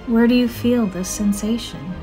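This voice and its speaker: A woman narrating a scripted guided meditation in a soothing, measured, gentle manner with drawn-out phrases. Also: on the high side. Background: soft music.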